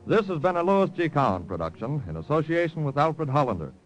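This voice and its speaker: A man's voice: on the high side, sing-song, brisk, faint music behind, poor audio. An American man reading credits as an announcer in 1950s radio style.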